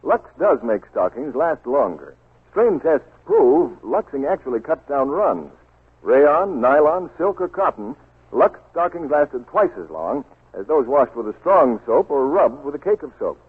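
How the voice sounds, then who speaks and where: A man's voice: mid-range voice, sing-song, a little slow. A man announcing a scripted 1940s radio commercial with emphatic salesmanship. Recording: tinny, rough 1940s radio recording.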